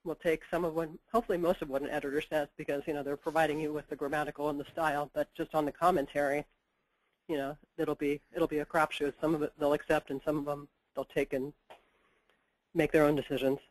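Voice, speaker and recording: A woman's voice: low-pitched, little pitch movement, quick pace, dry recording, low-quality recording. A woman editor with a head cold, giving practical explanations in a webinar interview and often saying "you know". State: stuffed up with a cold. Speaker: book editor.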